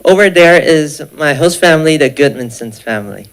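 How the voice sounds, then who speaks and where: Young man, smooth voice. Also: a little high, animated, moderate pace, low-quality recording. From Thailand. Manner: polite, formal greeting. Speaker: exchange student.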